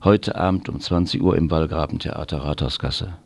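Male community radio presenter for listings and announcements, low register. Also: steady tone, moderate pace, gravelly. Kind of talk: reading local event listings on community radio.

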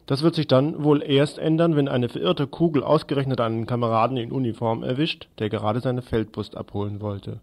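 A man presenting scripted radio news and commentary in a deliberate, sardonic manner. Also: mid-range voice, fairly even intonation, vocal fry, darkish tone.

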